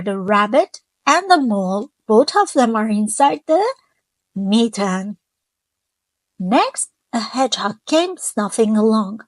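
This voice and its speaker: Female storyteller, slightly high voice, gentle read-aloud that keeps asking the kids questions. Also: dry recording. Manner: gentle, stops to ask young listeners questions. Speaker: children's storyteller. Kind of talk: children's picture book read-aloud.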